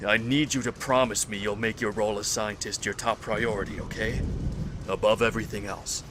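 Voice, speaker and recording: Casting: male actor for wary, authoritative security type, lowish voice, grave drama delivery, noisy track. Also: steady tone, close to an everyday voice. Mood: grave, guarded. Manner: serious, deliberate, authoritative. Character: guarded, suspicious man with security training. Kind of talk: dramatic audio drama acting.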